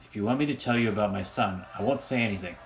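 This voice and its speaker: Man acting a gravelly, guarded father. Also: lowish voice, quick pace, soft background music, natural voice, rough recording. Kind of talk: amateur radio drama phone dialogue.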